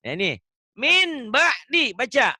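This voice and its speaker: A man's voice: very high-pitched, sing-song, measured pace, pushed and bright, no room sound, studio quality. A man teaching Quran recitation online, patiently drilling pronunciation and laughing often. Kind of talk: online tajwid lesson.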